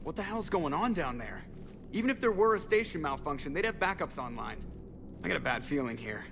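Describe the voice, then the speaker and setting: Space pilot, wry, informal, lightly high pitch, faint noise, video game. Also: male voice, lively intonation, a little fast, creaky, fairly bright tone, in a large hall. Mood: uneasy, alert. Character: alert, easygoing male starship pilot.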